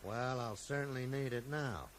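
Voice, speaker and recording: A man's voice: mid-range voice, normal speed, slight background noise, natural voice. An actor playing a puzzled, brooding man in a radio drama, questioning and thinking aloud. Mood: troubled, probing. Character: puzzled, brooding American man investigating a mystery. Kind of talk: 1980s radio drama acting.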